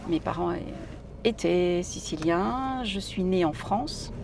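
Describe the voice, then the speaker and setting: A woman's voice: lowish voice, expressive, slow pace, gravelly, noisy background. A middle-aged woman whose first language is Italian, a singer chatting at length with many fillers in a casual interview. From Italy. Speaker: guest singer.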